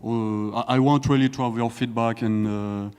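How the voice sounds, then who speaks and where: A young man with a French accent talks to a conference audience in a hall, and his voice rings with long reverb. He jokes easily and hesitates often, with plenty of "uh" and restarts. His pitch sits in an ordinary middle range. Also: fairly even intonation, moderate pace, darkish tone, clear, resonant tone. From France. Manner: joking, hesitant, many fillers and restarts. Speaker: conference speaker. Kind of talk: live conference talk. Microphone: handheld or stage microphone.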